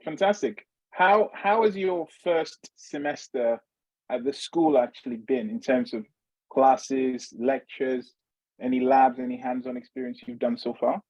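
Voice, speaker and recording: Man, webinar host, encouraging, husky, dry dull call audio. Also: medium pitch, measured pace, a little mellow, no echo. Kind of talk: hosting an online webinar interview.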